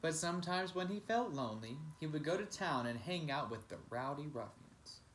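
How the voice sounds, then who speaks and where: A man's voice: fairly high pitch, expressive, moderate pace, fairly bright tone, a little hiss or hum, low-quality recording. A man reading a children's picture book aloud as a teacher, with dramatic, emphatic narration. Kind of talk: expressive storybook narration.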